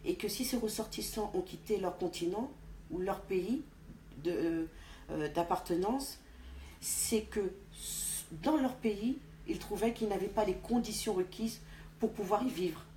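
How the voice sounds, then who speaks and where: A woman from France, a guest in an interview, speaking deliberately with frequent restarts. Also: mid-range voice, warm tone. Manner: deliberate, halting with many restarts. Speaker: interview guest, party official. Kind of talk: conversational interview. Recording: echoey room with faint background noise.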